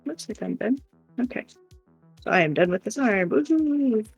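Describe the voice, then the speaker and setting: A woman with a gravelly, husky voice chats casually on a livestream. She rambles and giggles as she goes, over faint background music. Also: a little high, animated, normal speed, dull audio. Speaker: live stream co-host. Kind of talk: casual livestream chat while crocheting.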